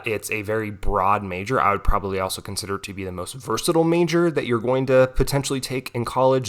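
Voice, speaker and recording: A young man with a cold, a little nasal, explaining a topic in a casual tutoring talk with frequent "right?" tags. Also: on the low side. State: has a cold, may sound nasal. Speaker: economics tutor.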